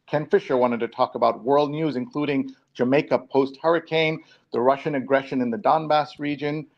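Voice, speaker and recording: A man's voice: mid-range voice, fairly even intonation, normal speed. A man from New York hosting a live talk show in a casual, conversational presenting style. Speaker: show host. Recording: dull, lo-fi online stream audio.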